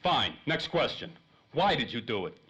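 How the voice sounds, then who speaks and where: Sharp-voiced hard-boiled radio tough guy played by a man. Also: medium pitch, sing-song, moderate pace, rough recording. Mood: wary, brusque. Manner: slangy, snappy. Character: hard-boiled, impatient, wisecracking male 1930s detective type. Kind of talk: 1930s radio acting. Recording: faint noise, light ambience.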